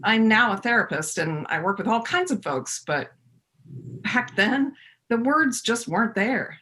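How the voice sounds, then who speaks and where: A woman's voice: fairly high pitch, animated, measured pace, pushed and bright, a little hiss or hum. A woman playing a reflective therapist who looks back on her younger self in a readers theater monologue. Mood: reflective, rueful.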